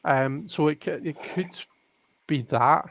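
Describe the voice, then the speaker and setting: A man's voice: on the high side, slow pace, fairly bright tone, no room sound. Rambling man on dull lo-fi audio. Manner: thinks aloud with many restarts and fillers. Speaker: tarot reader hosting a live stream. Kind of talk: casual live-stream chat.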